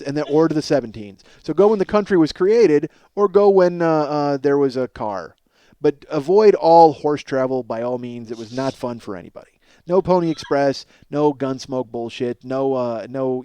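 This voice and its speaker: Higher-voiced man riffing like a comic. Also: dry recording. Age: 40s. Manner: profane; animated, emphatic, self-interrupting riffing. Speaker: comedy podcast host. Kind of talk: rambling comedic monologue, casual chat.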